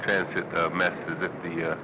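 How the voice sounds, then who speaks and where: American man, very low voice, arguing politics in an interview on noisy old documentary film. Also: level tone, a little fast. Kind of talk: impassioned political interview talk. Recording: noisy old newsreel, traffic-like ambience.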